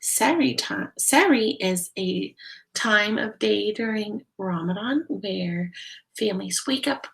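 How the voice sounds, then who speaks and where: A woman reading a storybook aloud like a teacher, explaining things deliberately and putting questions to young listeners. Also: medium pitch, gravelly, poor audio. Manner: deliberate, explanatory, addressing children. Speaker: teacher reading a picture book aloud to children.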